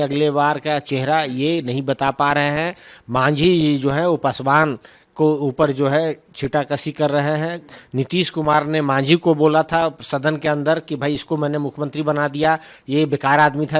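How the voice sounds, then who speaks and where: A man with a moderately high-pitched voice speaks as a political spokesman. He answers questions argumentatively, hammering points through repeated phrasing. English terms are mixed freely into his speech.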